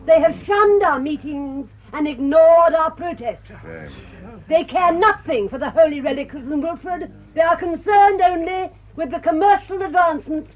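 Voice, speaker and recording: An actress playing an indignant elderly village crusader, declaiming in a British radio drama. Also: female voice, very high voice, moderate pace. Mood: righteous indignation. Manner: declamatory, emphatic oratory. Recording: dull radio recording.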